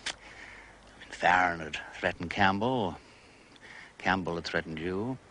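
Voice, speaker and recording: An actor playing an urbane, playful gentleman detective with an upper-class English accent, breezy and courteous in a British detective drama. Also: low voice, a little slow, slight background noise. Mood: probing.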